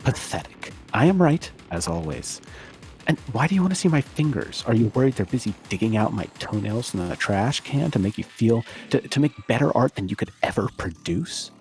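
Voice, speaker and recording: A man's voice: mid-range voice, sing-song, fairly quick, a little mellow, faint music behind. A man playing a pompous, scornful internet commenter in an overwrought comedic dramatic reading. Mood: scornful, grandiose. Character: pompous, outraged male internet commenter, disgusted and theatrical.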